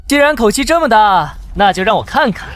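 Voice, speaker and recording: A man playing a cocky young hero, animated delivery. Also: high voice, fairly quick. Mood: defiant, taunting. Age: young adult. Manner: cocky, taunting, resolute. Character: defiant young man hero with modern weapons in cultivation world. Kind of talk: dramatic animated comic voice acting.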